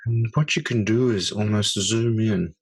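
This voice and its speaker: A man leading a guided meditation, speaking slowly and softly with long pauses. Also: on the low side, breathy edge. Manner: slow, soft-spoken, with long pauses.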